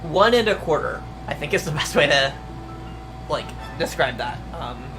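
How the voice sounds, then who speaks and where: A young adult streamer talks casually to their chat, wandering through answers with constant ums and uhs and the occasional curse. The voice sits at a middling pitch. Music plays clearly underneath. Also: animated, moderate pace, poor audio. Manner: rambling, hesitant, many fillers, mild swearing. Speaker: VTuber streamer. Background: music playing.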